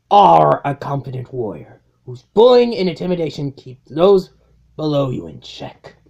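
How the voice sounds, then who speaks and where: A woman playing a cold, contemptuous robot warlord in a comic dub, speaking with slow, grandiose menace. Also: sits low, creaky, darkish tone, slightly rough, voice pushed down, exaggerated voice. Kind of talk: comic dub dramatic acting.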